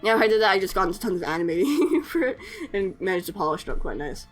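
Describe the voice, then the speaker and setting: A woman's voice: medium pitch, sing-song, fast, faint music behind. A young woman chatting casually in a voice-over while she edits, hesitant, with lots of fillers and self-conscious little laughs. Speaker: artist and animator YouTuber. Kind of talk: casual voice-over over editing footage.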